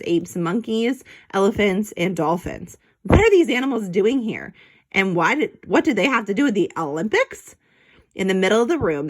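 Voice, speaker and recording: Animated woman reading a children's story. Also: mid-range voice, poor audio. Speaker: storybook reader. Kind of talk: expressive read-aloud narration of a children's book.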